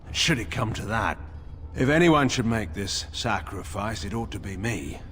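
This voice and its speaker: An actor playing a proud, stern middle-aged general and father in a fantasy game, speaking in a grave, measured, formal way with dry sarcasm. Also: on the low side, animated, a bit bright. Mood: grave, resigned. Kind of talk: dramatic fantasy game dialogue.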